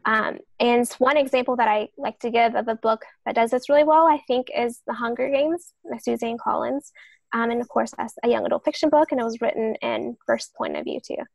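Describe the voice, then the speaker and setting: A woman with a fairly high voice and noticeable fry talks through writing craft on a podcast. She explains earnestly, and the sound is dry and close. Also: a little fast, dry recording. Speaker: podcast panelist, novelist and writing teacher.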